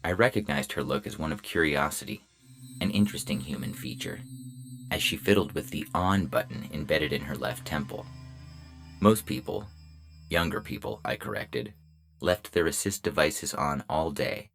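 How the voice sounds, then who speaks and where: A man voicing a polite, officious robot lawman with a deadpan, clipped delivery in a science fiction audio story. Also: a bass voice, moderate pace, vocal fry, exaggerated voice. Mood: coolly officious. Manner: deadpan, clipped, matter-of-fact. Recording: music bed under the voice.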